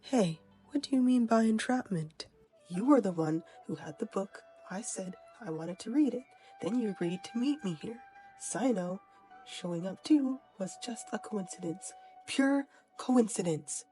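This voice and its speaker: A woman voicing a defensive, flustered fantasy quest character in an amateur game dub, with a husky voice. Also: medium pitch, expressive, a little slow, mellow, faint music behind, lightly acted, low-quality recording.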